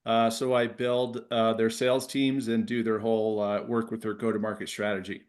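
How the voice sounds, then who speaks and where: A middle-aged man talking as a guest, telling stories in an informal, halting way. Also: fairly low pitch, little pitch movement. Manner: informal, halting, quotes dialogue. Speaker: guest, former relief pitcher. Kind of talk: casual anecdote.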